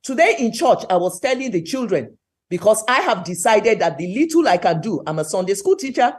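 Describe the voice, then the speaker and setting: A woman's voice: mid-range voice, sing-song, fairly quick. A middle-aged Nigerian woman with a Nigerian accent, giving an impassioned, emphatic talk at a webinar and repeating words for stress. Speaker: webinar speaker.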